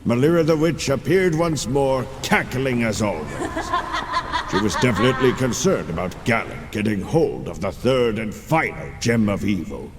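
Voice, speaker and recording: A man playing a kindly older storyteller, narrating a video game tale in an expressive, gentle way. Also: medium pitch, moderate pace, natural voice. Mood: dramatic storytelling. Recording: long reverb, faint music behind.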